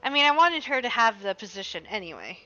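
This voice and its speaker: A woman's voice: fairly high pitch, sing-song, fast, pushed and bright, no room sound. A woman game streamer giving casual, informal reactions and asides while playing on a livestream. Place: live stream with game audio behind. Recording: slightly dull stream audio, faint music.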